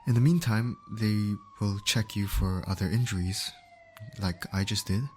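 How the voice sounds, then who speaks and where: A low-pitched male voice , acted by a man, plays a gentle medic in an ASMR roleplay. He is attentive and coaxing, steadily reassuring an injured patient. Faint siren and vehicle noise sit behind him. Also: fairly even intonation, a little slow, lightly acted. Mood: concerned, soothing. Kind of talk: ASMR comfort roleplay acting.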